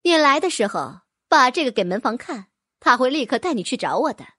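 A woman's voice: high-pitched, very animated, brisk, a bit bright, breathy edge, no echo, studio quality, full character voice. A woman voicing an eager, accommodating middle-aged noble lady, a mother.

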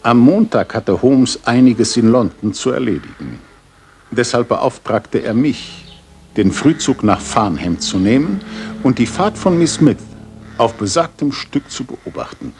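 Radio drama role: courteous gentleman companion and storyteller, animated pitch, measured phrasing, slight noisy ambience. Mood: measured narrating. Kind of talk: German radio drama acting and narration.

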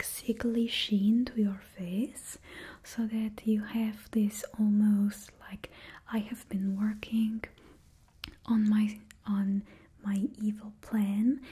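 A young woman playing a doting female supervillain makeup stylist, whispering slowly and gently close to the mic in a put-on Russian accent, as ASMR roleplay. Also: medium pitch, husky. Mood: soothing. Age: young adult. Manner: slow, gentle, fussy and attentive. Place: small indoor studio. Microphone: close mic, face near camera.

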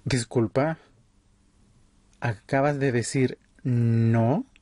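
A man playing an authoritarian vampire leader in an audio roleplay, speaking in a measured, condescending way. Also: mid-range voice, fairly even intonation, mellow, no echo. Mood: threatening. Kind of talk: ASMR audio roleplay acting.

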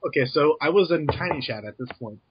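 A man's voice: on the high side, animated, fast talker, crowd noise. A man chatting casually on a podcast, laughing often and joking along. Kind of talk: casual chat. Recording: dull lo-fi dry podcast mic.

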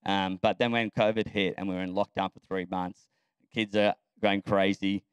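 Man, 30s, missionary giving a relaxed testimony; smooth and low, dry sound. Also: moderate pace, dry recording. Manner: casual, self-deprecating, frequent ums. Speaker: missionary speaker. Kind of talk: personal testimony at church. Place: church.

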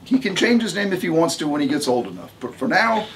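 A man's voice: on the high side, very animated, fast talker, a little hiss or hum, voice pushed up. Man doing a brassy, folksy mother of many. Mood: proud. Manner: brassy, folksy, bossy drawl. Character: bold loud middle-aged mother of many, earthy.

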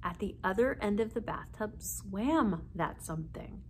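A woman's voice: medium pitch, lively intonation, unhurried. A woman in her forties reading a children's story aloud as narrator, seated indoors a short way from the camera. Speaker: library story reader. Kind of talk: children's book read-aloud. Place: small indoor room, seated. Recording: faint background noise.

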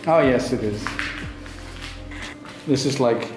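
A middle-aged man who is not an English speaker, narrating haltingly as he picks through a decaying house. His voice is mid-pitched and sometimes drops to a hush, and it rings in bare, echoey rooms. Music plays clearly underneath. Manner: halting, thinking aloud, drops to a hushed whisper at times. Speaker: urban explorer host. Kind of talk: casual walkthrough commentary. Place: large abandoned house, indoors. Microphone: handheld camera mic.

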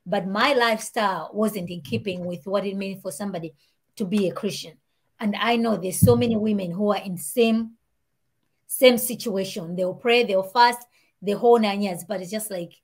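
A woman with a Malawian accent talks through her story as an interview guest, lively and gesturing as she speaks. She sits at home and comes through a video call headset. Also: medium pitch, moderate pace, breathy edge. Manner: animated, talks with her hands. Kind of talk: casual interview chat. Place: home room with shelves and warm lamp. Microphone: video call, earbuds.

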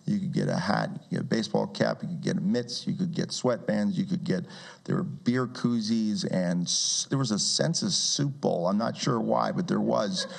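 A man in his forties with a rough, gravelly voice, sitting low in pitch. He answers audience questions at a community meeting, speaking into a handheld mic. He talks in a loose, conversational way, restarting words and dropping wry asides. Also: moderate pace. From Brazil. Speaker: guest speaker. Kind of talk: public talk with audience questions.

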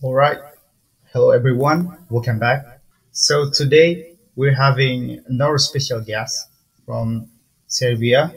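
A young male podcast host who speaks slowly in a level, even tone. His questions come out loose and casual, with plenty of filler words. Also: mid-range voice, slightly rough, poor audio.

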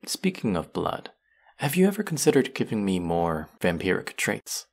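Man acting a deep, gravelly, sardonic fantasy villain. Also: big pitch swings, normal speed, exaggerated voice. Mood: menacing, smug. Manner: taunting, smug, theatrical. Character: sardonic, menacing young male fantasy villain holding his writer captive. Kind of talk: scripted roleplay audio drama monologue.

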